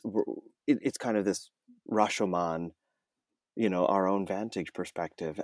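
A man's voice: deep voice, no room sound, studio quality. A man speaking as an interview guest, an audiobook narrator talking conversationally and enthusiastically, stretching words for emphasis.

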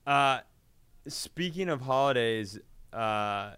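A man co-hosting a comedy podcast, telling stories casually in a halting, profane way. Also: medium pitch, animated, a bit bright, smooth, dry recording. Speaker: podcast co-host.

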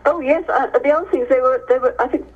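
A woman's voice: sits high, fast talker. English woman, dull lo-fi interview sound. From Hereford, England, near the Welsh border. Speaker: author, interview guest. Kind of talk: conversational interview answers.

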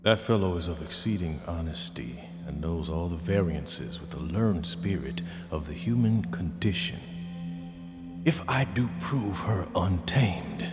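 Tormented jealous general, low voice, slow, brooding and volatile, lingering reverb with faint music, radio drama. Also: male voice. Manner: brooding, swinging into anguished outbursts. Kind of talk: radio play acting.

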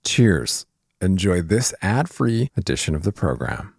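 Deep, very dry, lively male announcer. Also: measured pace, gravelly, breathy edge, no echo. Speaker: podcast announcer. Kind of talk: scripted promotional read.

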